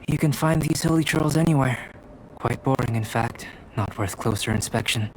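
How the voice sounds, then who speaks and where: Man voicing a calm, scholarly young alchemist. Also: mid-range voice, slight background noise, natural voice, poor audio. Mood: lecturing. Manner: calm, measured and lecturing. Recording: backing music.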